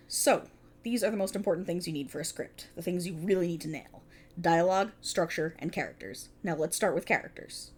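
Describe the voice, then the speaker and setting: A woman's voice: on the low side, animated, normal speed, darkish tone, breathy edge. A woman playing a prickly, exasperated police officer in a scripted ASMR roleplay, talking in a wry, bossy, explanatory way to an unheard partner. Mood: instructive. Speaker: voice actor in audio roleplay. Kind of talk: scripted ASMR roleplay, one-sided dialogue.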